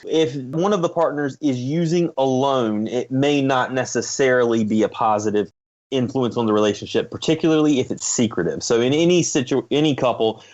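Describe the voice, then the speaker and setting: Male expert interviewee, medium pitch, academic and careful explainer, slightly dull recorded sound. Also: darkish tone. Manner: measured, academic, careful qualifying. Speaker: guest expert, psychology professor.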